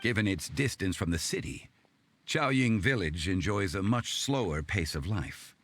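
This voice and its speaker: A man playing a calm, middle-aged, scholarly gentleman in a video game, formal and measured with dry humor. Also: low-pitched, full character voice. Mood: calm, wry. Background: faint music.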